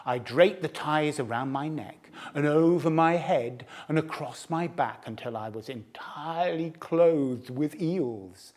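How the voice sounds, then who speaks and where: A man's voice: fairly high pitch, a little slow, few pauses, a little mellow, close to an everyday voice. A man voicing a sly, boastful male fox who tells his cubs storybook tales in a theatrical, relishing way. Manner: relishing, theatrical, savouring food words. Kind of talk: storybook narration.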